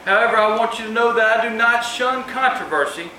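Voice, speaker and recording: A man's voice: extremely high pitch, a little fast, sharp tone. A man giving a public speech as an author at a live book event. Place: room at a live event, distant microphone. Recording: echoey, noisy room recorded from far off.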